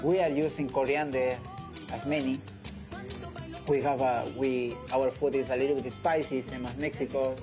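A man in his thirties from Peru with a Peruvian Spanish accent, a chef speaking halting English in an informal interview. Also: mid-range voice, flat delivery. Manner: halting non-native English with repeated phrases. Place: indoors in a restaurant. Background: music.

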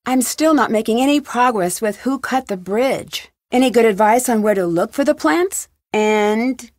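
A woman's voice: fairly high pitch, lively intonation, normal speed, a little mellow, dry recording, clean studio sound, lightly acted. A woman playing an inquisitive, level-headed young female detective in a video game, with an earnest, probing way of asking questions. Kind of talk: video game voice acting, isolated dialogue lines.

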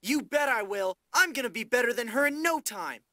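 High-voiced, brash teenage trainee knight. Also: male voice, lively intonation, fairly quick, bright, forceful tone, dry recording, clean studio sound, heavily acted. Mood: defiant. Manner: brash, complaining. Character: cocky, whiny teenage boy trainee knight. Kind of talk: scripted video game acting.

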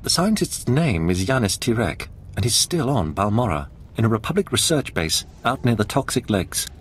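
An actor playing a businesslike military officer who gives mission briefings in a video game. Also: male voice, mid-range voice, big pitch swings, normal speed, a little hiss or hum, lightly acted. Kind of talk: video game character dialogue.

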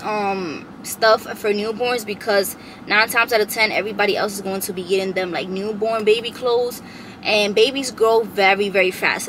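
A woman's voice: mid-range voice, fairly bright tone, slight background noise, low-quality recording. A woman in her twenties, sitting in a parked car and filming a casual, rambling haul vlog, full of "like" fillers, into a camera on her dashboard. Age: 20s. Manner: chatty, rambling with many "like" fillers. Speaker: vlogger.

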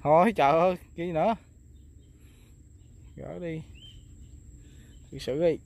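A man from southern Vietnam, talking casually to viewers while fishing outdoors, excitedly exclaiming and repeating words. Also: on the high side, a little slow, gravelly. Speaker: fishing vlogger. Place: outdoors on a river.

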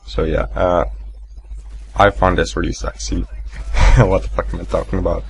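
A young man giving casual gameplay commentary, rambling and swearing, with a deep, gravelly morning voice. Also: level tone, moderate pace, slight background noise. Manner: rambling, swearing, laughing at himself. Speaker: gaming commentator.

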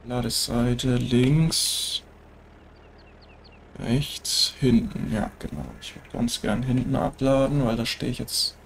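A man with a German accent talks his way through a farming game in an easygoing, chatty voice, dropping little filler tags as he goes. He has a cold, so his nose sounds stuffed up, and his voice has a gravelly rasp as he speaks slowly and unhurriedly. A faint noise sits underneath the recording. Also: medium pitch, steady tone. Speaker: let's play gamer. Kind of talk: casual gameplay commentary.

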